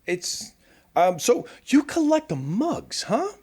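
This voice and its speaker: A man's voice: very high voice, sing-song, slow pace, darkish tone, husky, heavily acted. A man playing a chatty, stammering, friendly radio host in a post-apocalyptic audio drama. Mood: nervous, awkward. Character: nervous, friendly male radio show host after the apocalypse.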